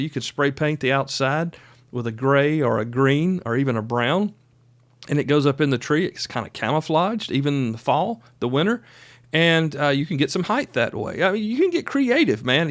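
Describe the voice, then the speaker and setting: A man's voice: mid-range voice, no room sound. A man hosting a podcast, giving casual instructional talk in a folksy, plainspoken, conversational way. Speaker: podcast host.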